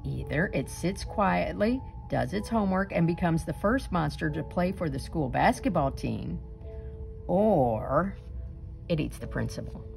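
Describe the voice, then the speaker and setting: Woman doing a lively storybook read aloud, bit of creak in her voice, big dramatic pauses. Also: sits low, soft background music. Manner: deliberate, dramatic emphasis with suspenseful pauses. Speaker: storyteller reading a picture book aloud. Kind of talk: expressive children's read-aloud.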